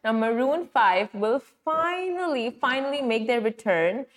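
A woman's voice: a little high, animated, unhurried. A young woman co-hosting a live show in an upbeat, bubbly manner. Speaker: radio show co-host.

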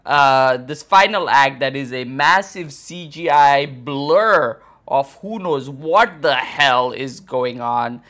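A man co-hosting a podcast, arguing his opinions casually in a halting, mock-serious, contrarian way. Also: mid-range voice, fairly bright tone. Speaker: podcast co-host.

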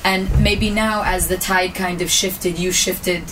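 A woman co-host speaks earnestly in a lowish voice and keeps restarting her thoughts. She sounds set back from the microphone. Faint ambience runs underneath. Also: steady tone, slow, background noise. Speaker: podcast co-host. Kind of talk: casual podcast conversation. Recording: voice picked up from a distance.

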